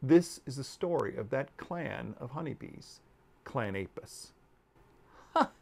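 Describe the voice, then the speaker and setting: A man in his fifties reads a story aloud with drama. His delivery is slow and expressive, with deliberate pauses and a pitch that rises and falls widely. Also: gravelly. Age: 50s. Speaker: author reading aloud. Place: book-lined room at home.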